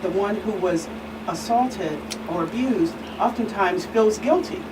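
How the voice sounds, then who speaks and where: A woman speaking as a guest expert in an earnest discussion, measured and emphatic, pausing between phrases. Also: medium pitch. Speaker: guest expert, reverend and attorney. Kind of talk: earnest talk show discussion. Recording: distant mic, clear background hum.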